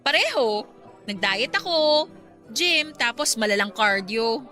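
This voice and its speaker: An actress playing a hopeful but easily hurt young woman in a Tagalog radio romance, expressive and pleading. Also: a little high, slow pace, soft background music, heavily acted. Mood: earnest. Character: lovelorn young woman, newly slimmed, hopeful yet hurt.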